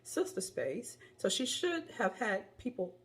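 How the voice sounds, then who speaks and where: A woman with a cold and a hoarse voice, giving chatty, rambling opinion commentary into her phone. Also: fairly high pitch, sing-song, unhurried. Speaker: commentary vlogger.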